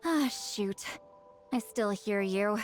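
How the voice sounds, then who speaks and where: A woman playing an ageless, reclusive shaman in an anime-style video game, intimidating on the surface but awkward, lonely and halting in her speech. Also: medium pitch, natural voice. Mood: flustered. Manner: flustered, halting, stammering. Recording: music behind the voice.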